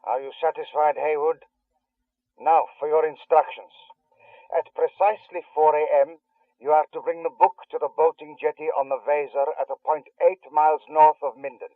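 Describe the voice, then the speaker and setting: An actor playing a cold, politely threatening male kidnapper who speaks in measured tones over a telephone in a radio spy thriller. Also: on the high side, fairly even intonation, dry recording. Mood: measured threat. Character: coldly menacing male kidnapper issuing ransom instructions. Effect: telephone line. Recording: tinny narrow phone-line sound.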